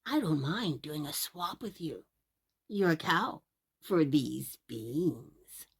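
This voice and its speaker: Here is a funny-looking old storybook man played by a woman, pitched down and husky. He talks slowly and knowingly, coaxing in a hushed, secretive way. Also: lively intonation, full character voice.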